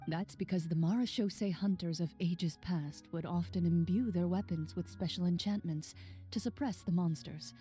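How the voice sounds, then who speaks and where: A woman playing a composed, stoic young champion duelist in a video game, speaking in a measured, formal and reserved way. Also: lowish voice, mellow, faint music behind, close to an everyday voice. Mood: explaining calmly.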